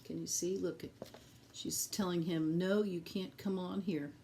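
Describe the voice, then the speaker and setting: A woman reading a picture book aloud to children in an expressive, deliberate teacherly way, speaking directly to her young listeners. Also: fairly low pitch, vocal fry, a little hiss or hum.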